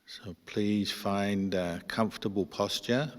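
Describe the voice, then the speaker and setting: A man, a Buddhist monk, guiding a meditation with measured instructions and pauses between short phrases. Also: on the low side, fairly even intonation. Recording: long hall-like reverb.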